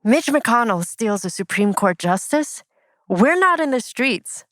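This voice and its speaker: A woman's voice: fairly high pitch, big pitch swings, a little slow, fairly bright tone, no room sound, studio quality. A woman delivering scripted lines from a stage play. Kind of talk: dramatic line reading from a play.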